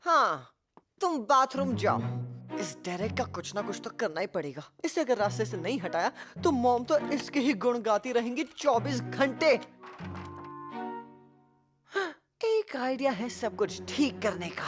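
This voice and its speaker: A woman's voice: a little high, sing-song, moderate pace. A woman playing a scheming, jealous, mischievous little boy in a dubbed children's cartoon. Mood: plotting, resentful. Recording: music behind the voice.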